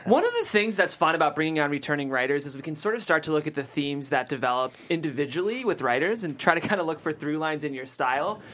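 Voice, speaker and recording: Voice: medium pitch, lively intonation, quick pace, few pauses, a bit bright. A chatty, enthusiastic show host reading script directions and interviewing a guest.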